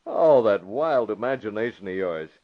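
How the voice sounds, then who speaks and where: An actor playing a suave, cool, teasing masked vigilante with a wry, unruffled manner in an old-time radio drama. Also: male voice, fairly low pitch, animated, normal speed. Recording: muffled vintage radio recording.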